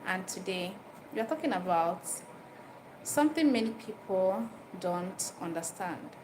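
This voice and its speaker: A woman's voice: medium pitch, expressive, measured pace. A woman with a Nigerian accent hosting an informal livestream, repeating her phrases and putting questions directly to listeners as she explains and advises. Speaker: livestream host giving dental health advice. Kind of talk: informal livestream talk, chatting with viewers. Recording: noisy live stream with background ambience.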